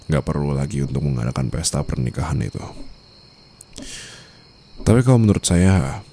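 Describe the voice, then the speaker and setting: A man playing a menacing, teasing mafia boss newly married, in an ASMR romance roleplay, with a gravelly, murmuring voice and a smug, formal, controlled manner. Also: warm tone, full character voice. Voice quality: very deep, gravelly, often dropping to a breathy murmur.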